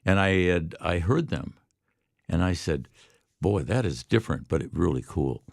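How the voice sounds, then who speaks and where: An older man from Detroit with a very deep, gravelly voice, recorded dry and close. He tells rambling, relaxed stories as a guest on a radio show. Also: moderate pace, dry recording. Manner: relaxed, rambling anecdotes with laughs. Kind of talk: casual storytelling.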